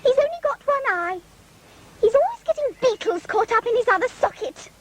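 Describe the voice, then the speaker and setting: A woman's voice: extremely high pitch, big pitch swings, normal speed, slight background noise, voice pushed up. A woman voicing a posh little girl who prattles sweetly and matter-of-factly in a macabre audio drama. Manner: sweetly matter-of-fact about gruesome things.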